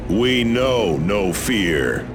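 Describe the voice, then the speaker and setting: An actor playing a fearless, righteous space marine commander in a video game, fierce, commanding and defiant. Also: male voice, on the low side, expressive, drawn-out words, music in the background. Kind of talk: video game battle acting.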